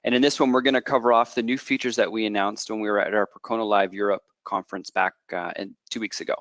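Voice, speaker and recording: A Canadian man in his thirties, a product manager walking through a software presentation, explaining at length with frequent fillers, restarts and a checking "okay". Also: on the low side, fairly even intonation, fast, no echo.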